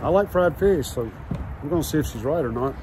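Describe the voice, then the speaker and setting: A man's voice: a little high, animated, a little fast, crowd noise, rough recording. A man, chatting casually to his viewers in an easygoing vlog, laughing often. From southern United States. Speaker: vlogger.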